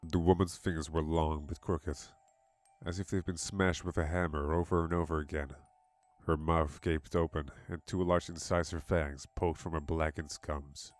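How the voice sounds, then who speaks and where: A man's voice: rumbling low, level tone, normal speed, no echo. A man giving a dramatic scripted narration of a horror story. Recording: music behind the voice.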